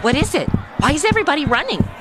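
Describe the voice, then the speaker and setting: A woman's voice: sits high, big pitch swings, very fast, heavy background noise. An actress playing a sassy, flippant modern woman in a comedy audio drama, sarcastic, chatty and full of complaints. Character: sassy, flippant modern woman stuck in 1950s noir Cuba.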